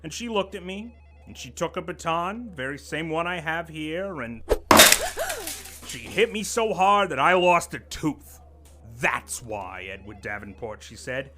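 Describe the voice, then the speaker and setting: A man's voice: a little high, expressive, unhurried, noisy background. An actor playing a pompous, condescending office vice president who lectures his staff. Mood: lecturing. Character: pompous middle-aged male corporate boss, menacing and sly. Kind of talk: audio drama acting.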